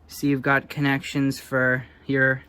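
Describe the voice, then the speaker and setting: A man's voice: mid-range voice, completely flat delivery, unhurried, sharp tone, clear, resonant tone. A man giving a casual, unscripted show-and-tell as a hobbyist presenter, thinking aloud in an offhand, hesitant way. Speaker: hobbyist presenter showing vintage electronics.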